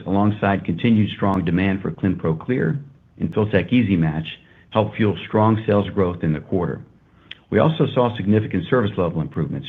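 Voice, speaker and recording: Low, husky man on a phone-like line. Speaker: company executive. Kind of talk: prepared corporate earnings presentation. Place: earnings conference call. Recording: muffled, phone-like conference call line.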